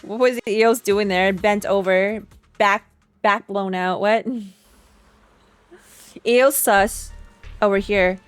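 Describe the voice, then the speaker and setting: A woman's voice: medium pitch, fairly even intonation, slow pace, pauses often, clear, resonant tone, dry recording, soft background music. A woman streamer reacting to a video game in a casual, informal and sarcastic way, sometimes reading text aloud.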